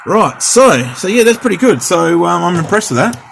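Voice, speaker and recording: A man's voice: high-pitched, very animated, normal speed, vocal fry. A middle-aged man casually demonstrating and testing a radio, rambling and repeating himself as he goes. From Australia. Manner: rambling, chatty, repeats test counts. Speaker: radio hobbyist vlogger. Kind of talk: casual hands-on equipment demo. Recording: home recording with noticeable background noise.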